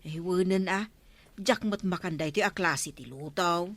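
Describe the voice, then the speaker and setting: A woman's voice: lowish voice, measured pace, dry recording. A woman playing a fussy, food-loving village councillor in an Ilocano radio comedy drama, with an Ilocano Filipino accent. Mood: unimpressed, fussy.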